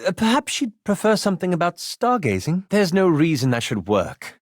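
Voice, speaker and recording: Game role: a bookish elf wizard, lightish voice, very expressive pitch, prim and sardonic, recorded dry. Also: male voice, normal speed, dry recording, exaggerated voice. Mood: dry, disdainful. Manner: precise, formal, dry and exasperated diction. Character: prim, scholarly, wryly sarcastic male elf wizard. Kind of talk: video game character dialogue.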